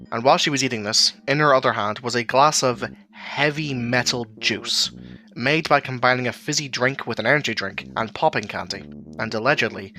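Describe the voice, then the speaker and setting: A man's voice: mid-range voice, pushed and bright. A man narrating a scripted audio drama story. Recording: roomy echo, music underneath. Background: background music.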